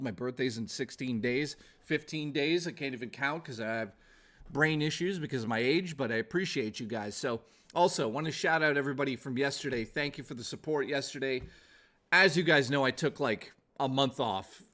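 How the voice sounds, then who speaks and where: A man's voice: medium pitch, fairly bright tone. A man in his forties chatting casually to viewers as a gaming livestreamer, informal and exclamatory, with some swearing. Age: 40s.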